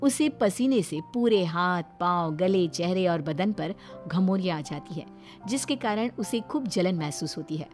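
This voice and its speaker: A woman's voice: on the low side, animated, unhurried, darkish tone, faint music behind. A woman reading scripted narration for a cartoon story, as its storyteller.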